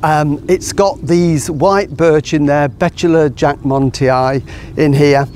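A man's voice: fairly high pitch, slow. Middle-aged guy showing off his garden outside, upbeat and chatty, bit of outdoor hiss. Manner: relaxed, enthusiastic explaining. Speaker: gardener and show host. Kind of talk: guided garden tour to camera.

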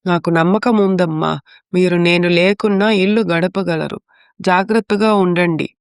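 A woman playing a gentle, weary older mother-in-law in a moral story drama, affectionate and pleading. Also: on the low side, fairly even intonation, unhurried, clear, resonant tone, dry recording, clean studio sound.

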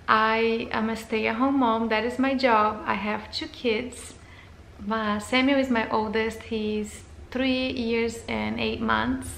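Brief: thirties Brazilian woman, non-native English, a touch high, gravelly edge, roomy living-room sound, faint hiss. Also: steady tone, slow, a bit bright. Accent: Brazilian Portuguese. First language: Portuguese. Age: 30s. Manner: hesitant, with frequent fillers and restarts. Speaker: vlogger. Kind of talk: casual channel introduction to camera.

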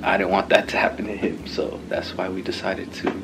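A man's voice: low voice, completely flat delivery, brisk. A man in his twenties chatting casually to camera as a vlogger, blunt and slangy, with some swearing. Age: 20s. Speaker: vlogger and new father. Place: small hospital room. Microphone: handheld vlog camera at arm's length. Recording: clearly noisy room ambience.

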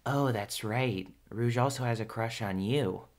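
A man's voice: mid-range voice, measured pace, natural voice. A man playing a brash, teasing young cartoon hedgehog hero in a casual fan audio drama. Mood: cheeky.

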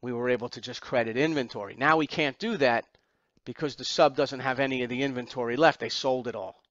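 A man's voice: medium pitch, a little fast, a bit bright, no echo, highs cut off. A man teaching an accounting exam class, lecturing through slides step by step with emphatic repetition and rhetorical questions.

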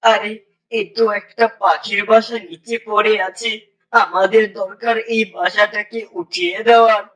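A man's voice: sits high, fairly even intonation, measured pace, a bit bright, far from the mic, poor audio. A man voicing a frail, kind-hearted old woodcutter in a moral-story cartoon. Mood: concerned. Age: older.

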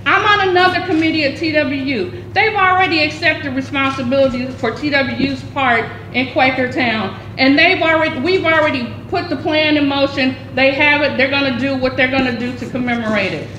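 A woman's voice: sits high, brisk, few pauses, sharp tone. A woman in her fifties, a committee member, speaking emphatically at a public meeting and repeating her points. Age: 50s. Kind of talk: impassioned public meeting remarks. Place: large community center meeting room. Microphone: table microphone. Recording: echoey hall, faint room noise.

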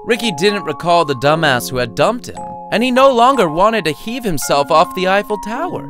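A man's voice: sits high, very animated, moderate pace, over music. A man reading his own short story as scripted audiobook narration, with a wry, playful storytelling manner.

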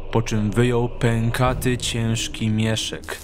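A man's voice: mid-range voice, level tone, slow, noisy background. A man narrating in a scripted, dramatic storytelling style.

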